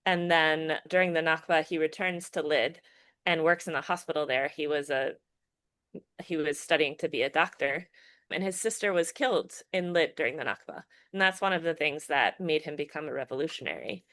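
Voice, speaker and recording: Reflective woman with a low, dry voice. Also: moderate pace, fairly bright tone, dry recording. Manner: thoughtful, restarts often, many fillers like "you know". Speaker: filmmaker and educator guest. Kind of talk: casual podcast interview.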